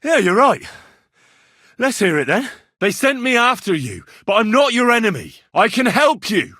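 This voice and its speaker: An actor playing an earnest, plucky young medieval adventurer in a video game, polite and plain-spoken, with a slightly husky voice. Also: male voice, high-pitched, very animated, moderate pace, bright, forceful tone, dry recording, clean studio sound, full character voice. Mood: urgent, shouting. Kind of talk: video game character dialogue.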